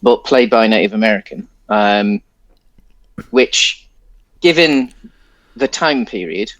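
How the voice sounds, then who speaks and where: A man's voice: lowish voice, no echo, rough recording. A man co-hosting a podcast, casually discussing a film in a hesitant, rambling way. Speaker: podcast co-host.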